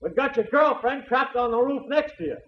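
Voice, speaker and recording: An actor playing a police detective calling out loud orders in an old radio crime drama. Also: male voice, very high-pitched, lively intonation, fairly quick, muffled recording. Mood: urgent, commanding. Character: police detective shouting orders across rooftops. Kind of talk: 1940s American radio crime drama acting. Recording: echoey, dulled old radio recording.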